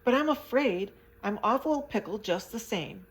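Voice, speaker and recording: A woman's voice: a little high, expressive, moderate pace, voice pushed up, close to an everyday voice. A woman voicing a tiny, friendly flying creature who has shrunk, telling its story chattily. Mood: rueful.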